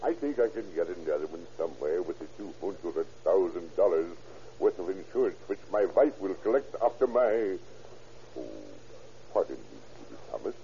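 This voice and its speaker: A man's voice: low-pitched, big pitch swings, moderate pace, warm tone. An actor playing a scheming, gloating German doctor who murders his friend, with a German accent and a cackling laugh, in an old radio drama. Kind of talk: 1940s radio acting. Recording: muffled old radio recording with faint hiss.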